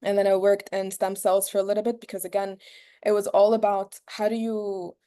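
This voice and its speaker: A woman's voice: medium pitch, flat delivery, brisk, mellow, clean tone. A young woman from Egypt giving an earnest, casual talk over a video call, with many fillers and restarts. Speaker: guest speaker.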